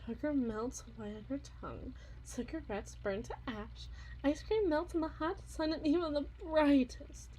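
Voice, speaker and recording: A woman playing an obsessive, unhinged yandere wife in an ASMR roleplay monologue, theatrical and halting, with eerie, menacing tenderness and a breathy voice. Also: sits high, slight background noise, close to an everyday voice, rough recording. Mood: musing, bitter. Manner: theatrical, halting, drawn-out repetitions, swinging from hushed to intense.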